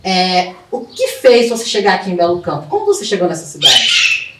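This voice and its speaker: Podcast interviewer: Brazilian woman, conversational and searching for words, away from the mic with clear noise. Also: lowish voice, huge pitch swings, normal speed. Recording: echoey, distant mic, clearly noisy.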